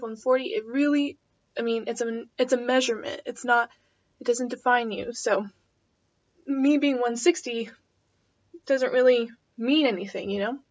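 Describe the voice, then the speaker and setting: A woman in her twenties chatting casually as a vlogger, answering tag questions in a rambling way full of fillers. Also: on the high side. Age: 20s. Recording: dull lo-fi home video audio.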